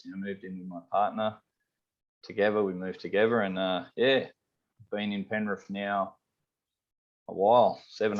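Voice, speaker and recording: A man from Sydney with an Australian accent, a head chef chatting casually in an interview, rambling with lots of "you know" and "um". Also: low voice. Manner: rambling, relaxed, many fillers like "you know" and "um". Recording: slightly dull lo-fi audio.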